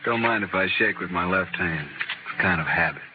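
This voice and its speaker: An actor playing a cool, courteous but dangerous gunfighter with dry understatement in a 1950s western radio drama. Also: male voice, deep voice, fairly quick, muffled recording, close to an everyday voice. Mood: wry, relaxed. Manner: dry, polite, understated menace. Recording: very noisy, thin 1950s radio recording.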